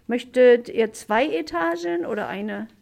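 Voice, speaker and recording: A woman from Germany, a German teacher hosting a classroom podcast, asking simple questions slowly with many fillers. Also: on the high side, lively intonation, creaky, darkish tone.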